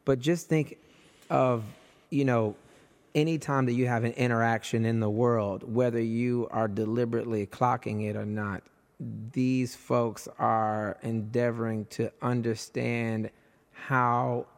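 A man hosting a casual podcast conversation, hoarse with his voice nearly gone, animated and jumping up in pitch when excited. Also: a little slow, long reverb tail. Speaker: podcast host.

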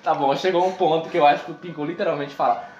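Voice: mid-range voice, fast, lo-fi sound. A game master with a Brazilian Portuguese accent, narrating a tabletop game in a casual, slangy, laughing way. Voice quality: lively, laughing often, slangy. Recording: echoey room.

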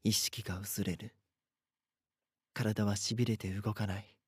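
A husky young male voice acted by a man in a close, dry recording. He plays a timid captive in an audio drama, telling his ordeal in an uneasy, hesitant first person. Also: lowish voice, flat delivery, slow pace, darkish tone, no echo, full character voice. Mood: fading, dazed. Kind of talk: Japanese voice drama acting with first-person narration.

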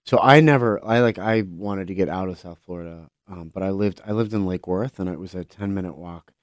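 A man's voice: sits low, fairly quick, no echo, clean studio sound. A man, a chef, talking loosely in a casual interview, full of likes and dudes. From born in Israel, raised in Pittsburgh. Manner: loose, says like and dude a lot. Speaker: chef, guest.

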